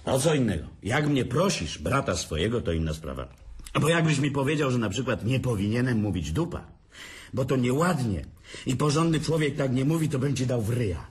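A man's voice: medium pitch, big pitch swings, a little fast, darkish tone, breathy edge. An actor playing a drunk, crude older brother who taunts his sibling, slurring and sneering in a radio play. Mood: mocking. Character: drunk boorish man, mocking older brother. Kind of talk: radio drama acting.

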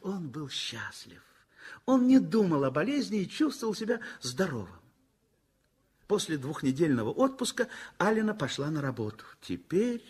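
A man with a husky, slightly breathy voice reads a literary story aloud for a radio play. His pitch rises and falls freely, and he lets each phrase swing with feeling. The delivery is measured and expressive, like classic radio theatre. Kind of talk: scripted literary narration, 1960s radio theatre.

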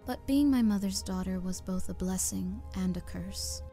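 A woman's voice: medium pitch, normal speed, mellow, natural voice. A woman voicing an earnest young opera chorus singer who reflects on her past, in a fan audio drama with faint music behind.

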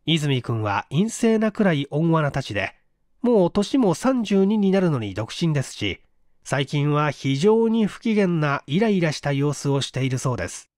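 A man narrating a mystery story as an audiobook reader, in an expressive, measured storytelling manner on a close microphone. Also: a little high, no echo. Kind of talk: scripted literary narration, mystery story reading.

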